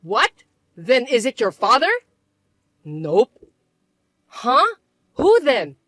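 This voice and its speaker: A woman's voice: fairly high pitch, wildly swooping pitch, very slow, a bit bright, no room sound, clean studio sound, heavily acted. A woman voicing a bossy, scornful older mother-in-law who scolds and accuses in an indignant, whiny way. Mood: shocked. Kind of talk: dramatized story voice acting.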